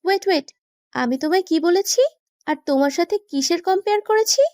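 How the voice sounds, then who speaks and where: A woman's voice: very high-pitched, lively intonation, a little mellow, no room sound, clean studio sound. A woman playing a playful, pouty young wife who banters and mock-scolds in a romantic audio story. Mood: teasing, challenging. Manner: pouting, bantering, quick to protest.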